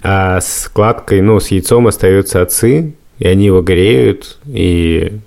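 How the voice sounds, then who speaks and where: A man's voice: sits low, a little slow, gravelly, darkish tone. A man hosting a podcast, talking casually and explaining things at length in a reflective way. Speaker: podcast host.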